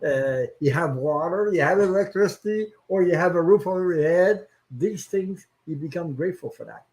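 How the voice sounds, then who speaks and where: A man hosting a live-stream chat, talking in an earnest, halting way on a video call. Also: high voice, a little mellow, low-quality recording. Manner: earnest, halting, stops and restarts as he opens up. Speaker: host.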